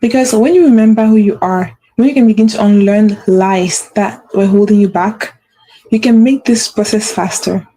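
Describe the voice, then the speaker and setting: A woman's voice: medium pitch, moderate pace, dark tone, slight background noise, low-quality recording. A woman hosting a motivational talk, speaking with a stutter and repeating phrases for emphasis. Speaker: host.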